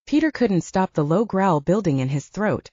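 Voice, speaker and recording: A woman's voice: lowish voice, lively intonation, normal speed, mellow, no echo, lo-fi sound. A woman reading scripted fiction as an audiobook narrator.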